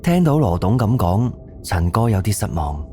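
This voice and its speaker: A man's voice: lowish voice, big pitch swings, normal speed, mellow. A man with a Cantonese accent giving scripted narration for an audio drama. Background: background music.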